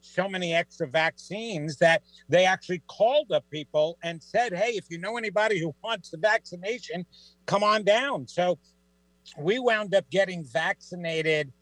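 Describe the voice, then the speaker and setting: A middle-aged man with a New York accent, a psychic guest on a talk show, telling stories in a lively, joking way. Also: high-pitched, normal speed, no echo, poor audio. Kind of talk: casual radio chat.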